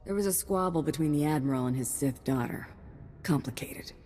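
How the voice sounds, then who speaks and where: Woman as a tough, very low-voiced bounty hunter. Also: brisk, big-room sound, faint music behind, natural voice. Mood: businesslike. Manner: terse, deadpan, confident. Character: cool, tough female alien bounty hunter.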